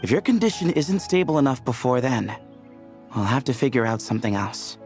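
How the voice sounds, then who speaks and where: A man's voice: medium pitch, very animated, a little fast, a little mellow, natural voice. A man playing a practical young researcher in a video game, friendly but firm and decisive, with music behind. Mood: firm, caring.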